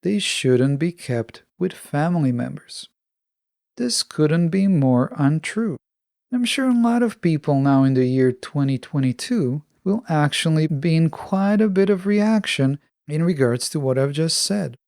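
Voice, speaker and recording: A man with a fairly high voice and a creaky edge, recorded close and dry. He delivers a scripted self-help podcast monologue in a measured, instructive way. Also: expressive, darkish tone, no echo. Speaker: podcast host.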